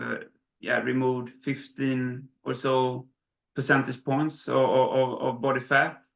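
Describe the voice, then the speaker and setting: A man's voice: medium pitch, sharp tone. A man from Sweden talking as an interview guest, hesitant, with many restarts and fillers. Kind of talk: casual interview. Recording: roomy echo.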